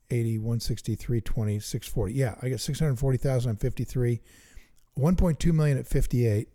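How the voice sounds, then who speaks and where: A middle-aged man co-hosting a chat about investing, eager and thinking out loud as he works sums aloud. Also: medium pitch. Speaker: investing podcast co-host. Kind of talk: casual teaching chat.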